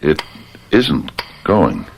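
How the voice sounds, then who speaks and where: A man playing a jittery, guilt-maddened murderer in an old radio drama, halting, stammering and repeating his words. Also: very deep voice, huge pitch swings, vocal fry, a little mellow, slight background noise, heavily acted. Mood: nervous, distracted.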